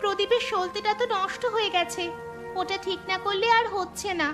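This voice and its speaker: A woman's voice: very high-pitched, fairly even intonation, a little fast. A woman voicing a sensible young village girl. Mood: worried. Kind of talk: cartoon voice acting. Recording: music under the voice.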